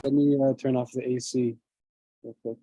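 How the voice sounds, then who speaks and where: A man leading a scripture class over a video call, reading translations aloud and often clearing his throat, with a low voice. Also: flat delivery, normal speed, dark tone, a little hiss or hum, low-quality recording. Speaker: class speaker. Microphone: computer mic on a video call.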